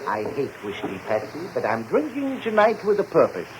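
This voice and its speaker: An actor playing an aging, boozy barfly philosopher in a 1940s radio drama, speechifying in a florid, pompous, mock-tragic way. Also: male voice, mid-range voice, huge pitch swings, moderate pace. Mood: tipsy, jovial. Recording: muffled, noisy 1940s radio recording.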